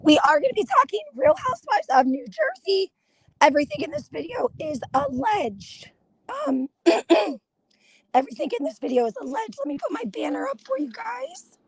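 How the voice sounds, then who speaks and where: A hoarse woman with a high, lively voice hosts a casual live stream. She chats in a confiding way and keeps stopping to clear her throat. The sound is dry and close. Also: moderate pace, no echo, poor audio. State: hoarse from laryngitis. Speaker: gossip channel host.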